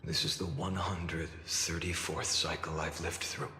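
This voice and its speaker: A man acting a grimly resolute, world-weary immortal warrior in a video game, solemn and detached in short halting phrases. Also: low-pitched, level tone, sharp tone, a little hiss or hum, exaggerated voice. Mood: grim resolve. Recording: long echoing reverb, game music behind.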